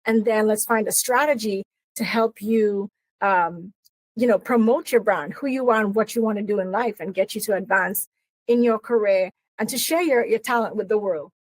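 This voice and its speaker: A woman's voice: mid-range voice, moderate pace, dry recording, poor audio. A middle-aged woman from Trinidad and Tobago with a Trinidadian accent, an earnest interview guest who talks about her career coaching with many fillers and restarts. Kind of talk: interview conversation.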